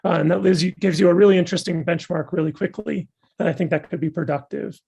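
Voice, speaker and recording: A man's voice: high voice, steady tone, fast talker, warm tone. A man losing his voice, thoughtfully and enthusiastically answering audience questions after giving a talk. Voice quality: gravelly and husky. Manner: thoughtful, enthusiastic academic explaining. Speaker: guest speaker.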